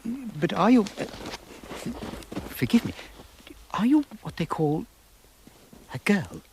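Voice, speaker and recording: A man's voice: sits high, big pitch swings, slow pace, a little mellow, a little hiss or hum, full character voice, rough recording. A British man playing a timid, polite faun in a radio drama, hesitant and courteous, easily flustered and eager to please. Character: timid, polite, excitable male faun, fussy and hospitable.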